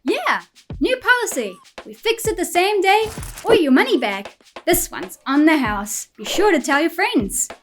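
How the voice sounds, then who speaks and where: Plucky young beaver assistant played by a woman, high and animated, earnest, wholesome cartoon delivery. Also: measured pace, soft background music, heavily acted. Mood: cheerful. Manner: earnest, eager.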